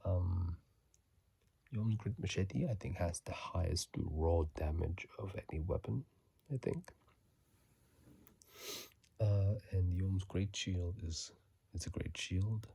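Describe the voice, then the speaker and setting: A man whispering softly and closely into a phone mic in an unhurried ASMR style, reading and chatting casually about a video game. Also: deep voice, vocal fry, husky, no echo.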